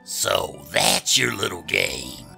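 A man's voice: low voice, sing-song, takes it slowly, vocal fry, pushed and bright, faint music behind, pitched above the performer's own voice, heavily acted. A man voicing a fierce, bossy witchy schoolteacher woman with a scratchy voice, in a storybook reading. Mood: stern. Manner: stern, commanding.

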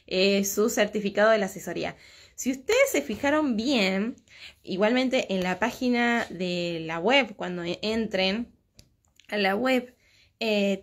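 A woman's voice: mid-range voice, fairly bright tone. A woman in her thirties with an Argentine Spanish accent, a crochet teacher talking casually with viewers and answering their comments from a room at home. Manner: chatty, reading out and answering comments. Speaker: crochet teacher hosting a livestream. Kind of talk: casual live chat with viewers. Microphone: phone at arm's length.

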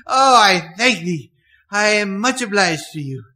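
An actor playing a reformed old miser giddy with kindness in a radio play, halting and exclamatory. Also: male voice, high-pitched, bright, forceful tone, full character voice.

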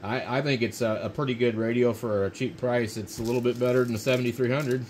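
A man's voice: medium pitch, steady tone, fast, darkish tone. American man chatting, faint background noise. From United States. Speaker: amateur radio operator. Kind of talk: casual ham radio chat. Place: indoor ham radio desk. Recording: faint radio hiss and room noise.

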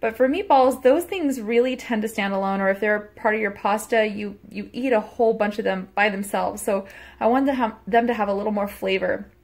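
A woman in her thirties chatting casually as she shows a recipe in her small home kitchen, self-deprecating and quick to laugh, recorded on a phone camera held close. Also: mid-range voice, a little mellow. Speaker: cooking vlogger. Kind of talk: casual cooking vlog chat.

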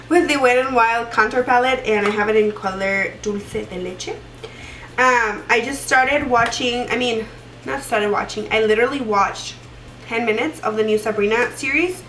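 A young woman with a cold and a fairly high voice chats away while doing her makeup, rambling and circling back with lots of fillers. She sounds a little stuffy. The sound comes from a camera mic a short way off, in a roomy, echoey room. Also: fairly bright tone, recorded from a distance, a little hiss or hum. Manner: chatty and rambling, many fillers and restarts. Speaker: beauty vlogger. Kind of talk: casual makeup tutorial chat. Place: small room indoors. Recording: roomy echo, voice a bit off-mic.

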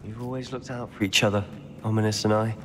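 A man's voice: on the low side, fairly even intonation, fast, faint music behind, close to an everyday voice. A young man with a British accent voicing a troubled, fiercely loyal teenage wizard in a video game, intense and conflicted. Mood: remorseful. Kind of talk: video game character dialogue.

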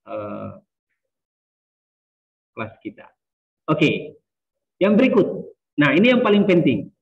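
Man lecturing over a tinny video call. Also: mid-range voice. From Sumba, Indonesia. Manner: casual, many hesitations and fillers. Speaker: university lecturer. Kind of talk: online lecture. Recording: distant, phone-like video call audio.

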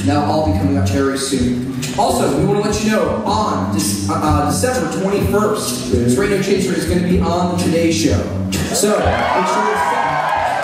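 A man from Pennsylvania, a singer in an a cappella group, making chatty, upbeat announcements from a concert hall stage into a handheld mic. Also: fairly high pitch. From Pennsylvania, USA. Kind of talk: live stage announcements to an audience. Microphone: handheld mic, heard from the audience. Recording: echoey hall from far off, loud crowd.

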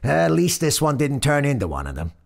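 A man voicing a brash, irritated, sweary train motorman with a gruff Brooklyn accent in an audio horror story. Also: sits high, a little fast, darkish tone, pitched above the performer's own voice, close to an everyday voice. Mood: exasperated.

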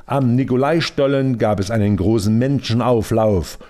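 Male reporter voice-over, mellow and mid-pitched, scripted news narration. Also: normal speed.

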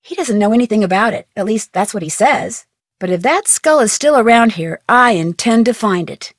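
An actress voicing a curious, plucky young female detective in a video game, with a brisk, wry tone. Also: mid-range voice, expressive, no echo, clean studio sound, natural voice. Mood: inquisitive. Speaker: game character, teen detective.

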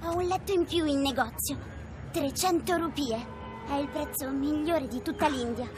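A woman's voice: high voice, normal speed, over music, lightly acted. A woman playing a bold, persistent young Indian street girl in a video game. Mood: insistent. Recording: noisy busy market backdrop.

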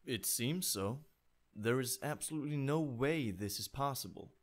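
A man's voice: mid-range voice, lively intonation, normal speed, natural voice. A man voicing an aloof, analytical teenage boy with formal, pedantic speech.

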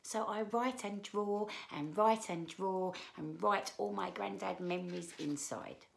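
A woman in her thirties reading aloud to children as a storyteller, expressive, with drawn-out emphasis on key words. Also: mid-range voice, creaky. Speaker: children's book read-aloud presenter. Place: small living room, indoors.

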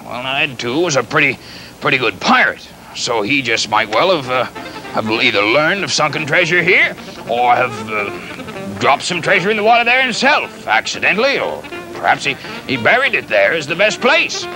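A man playing a kindly, folksy pirate captain in a children's show, halting and stammering as he rambles and repeats himself. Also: mid-range voice, very animated, over music, close to an everyday voice, low-quality recording. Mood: musing. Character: folksy, flustered, kindly pirate captain fond of his clumsy pet.

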